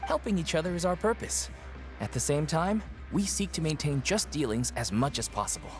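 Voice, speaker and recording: A man's voice: fairly high pitch, expressive, fairly quick, close to an everyday voice. An actor playing a friendly prisoner who earnestly explains his mutual aid group. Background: game music.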